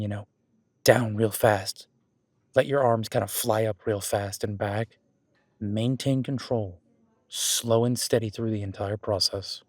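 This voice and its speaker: A man acts out a friendly werewolf boyfriend in an audio roleplay, speaking in a fairly low voice. He coaches conversationally and patiently, explaining and encouraging as he goes. The sound is very dry, with no room echo. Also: a little mellow, natural voice. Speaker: gym training coach in roleplay.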